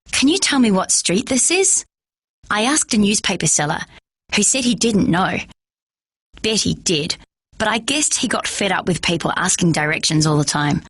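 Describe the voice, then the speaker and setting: A woman with a husky voice reading a children's novel aloud in steady first-person narration. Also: medium pitch, dry recording. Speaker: storyteller.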